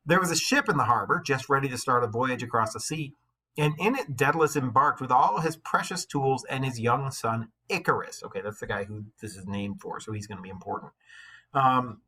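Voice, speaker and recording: A man's voice: mid-range voice, bright, forceful tone, slightly rough. A man working as a teacher, reading a story aloud like a storybook and explaining it in casual, conversational asides.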